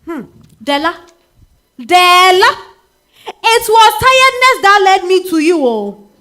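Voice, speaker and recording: A woman's voice: extremely high pitch, slow, heavily acted. A Ghanaian woman playing a spirited married woman telling her love story in a dramatized stage reading, with conversational interjections. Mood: wistful, animated. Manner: expressive, conversational interjections like eh and hmm. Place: live literary festival stage reading.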